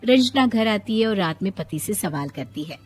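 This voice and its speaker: A woman's voice: medium pitch, lively intonation, moderate pace, breathy edge. A woman reading scripted narration for a domestic moral story as its storyteller. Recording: music under the voice.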